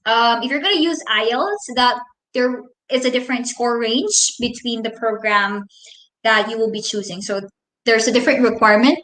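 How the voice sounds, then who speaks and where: Bright-voiced Filipino woman vlogger. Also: fairly high pitch, moderate pace, echoey room, poor audio. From Philippines. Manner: friendly, reads viewer comments aloud, explains at length. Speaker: vlogger answering viewer questions live. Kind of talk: casual live Q&A, switching between English and Tagalog.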